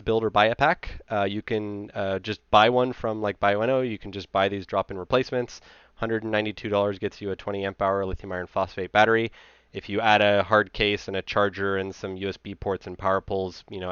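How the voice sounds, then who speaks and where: Low-voiced man presenting, slightly muffled. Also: fairly bright tone, smooth, no echo. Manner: explanatory, conversational. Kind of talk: informal technical slide presentation.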